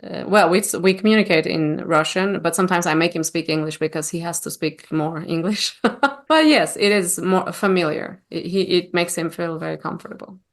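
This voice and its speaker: A woman from Armenia, speaking English as a guest in a casual interview and often pausing to search for words. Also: on the low side. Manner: warm, searching for words with many fillers. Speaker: guest opera singer.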